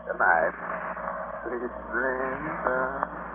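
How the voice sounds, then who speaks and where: A man's voice: mid-range voice, animated, drawn-out words. An American man playing a ghoulish, tongue-in-cheek host of a 1940s radio horror show, sardonic and teasing in his mock-sinister delivery. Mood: teasing, macabre. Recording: noisy, tinny old radio broadcast.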